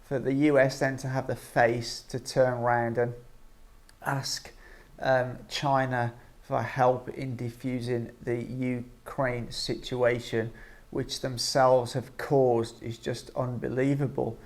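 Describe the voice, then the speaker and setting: Gravelly-voiced man giving halting opinion commentary. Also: medium pitch, flat delivery. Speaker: online vlogger giving political commentary. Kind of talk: informal opinion commentary to camera.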